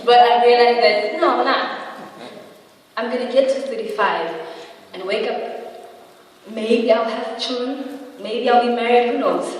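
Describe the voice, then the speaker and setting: A woman's voice: fairly high pitch, a little slow, long pauses. A woman in her twenties giving a chatty, humorous motivational talk to an audience, often checking in with tag questions. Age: 20s. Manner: humorous, laughs at own jokes. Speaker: motivational speaker. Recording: echoey hall, voice from far off.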